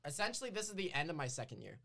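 A man's voice: a little high, sing-song, racing, creaky, sharp tone. A man in his twenties co-hosting a casual podcast, telling rambling stories over a voice call. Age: 20s. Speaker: podcast co-host. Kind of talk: casual chat. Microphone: desktop mic on a voice call.

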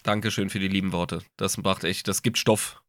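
A man's voice: low voice, steady tone, normal speed, fairly bright tone, dry recording, studio quality. A man with a heavy cold co-hosting a casual podcast, joking in short replies. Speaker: podcast co-host and editor.

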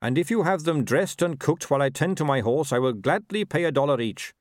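Man playing a courteous, quietly menacing horseman. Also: a little high, lively intonation, brisk, dry recording, clean studio sound, pitched above the performer's own voice, natural voice. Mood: weary, polite. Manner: formal, polite. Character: courteous, calmly menacing young horseman, earnest and deliberate.

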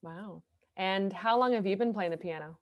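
A woman's voice: medium pitch, normal speed, muffled recording, a little hiss or hum. A woman hosting a podcast interview, upbeat and encouraging toward her guests. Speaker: podcast host.

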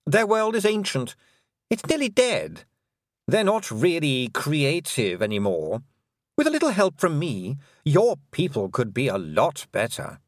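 A man putting on a cruel fairy queen, her voice lifted high and gliding with lively swoops as she purrs sweet, mocking scorn. She is condescending and quietly menacing, the reading close and dry like a storybook told at the mic. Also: measured pace, dry recording. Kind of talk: audiobook character voice.

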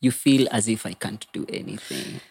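A man's voice: lowish voice, normal speed, vocal fry, darkish tone, slightly rough. A man with a Kenyan accent, an interview guest telling personal stories casually, mixing English and Swahili and repeating words for emphasis. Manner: code-switches between English and Swahili.

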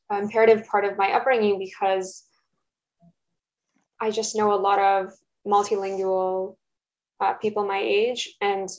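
A young woman, Russian her first language, answering interview questions in a hesitant, thoughtful way with many fillers, at home. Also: mid-range voice. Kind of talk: casual interview. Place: home, roommate nearby. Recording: dull lo-fi recording.

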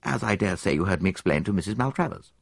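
A man's voice: low-pitched, animated, quick pace, creaky, no echo, clean studio sound, full character voice. A man voicing an earnest young male army captain, speaking in a clipped, eager and slightly nervous way.

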